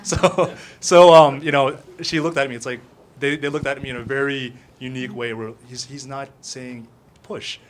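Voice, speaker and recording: A man's voice: medium pitch, steady tone, normal speed. A young man whose first language is Filipino, telling his personal story at a speaker panel with many fillers and restarts. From United States. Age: young adult.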